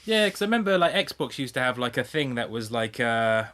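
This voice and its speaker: A man's voice: mid-range voice, very animated, a little fast, fairly bright tone. A British man co-hosting a podcast, chatting casually about video games in a rambling, sweary way. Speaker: podcast co-host. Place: indoors, remote internet voice call.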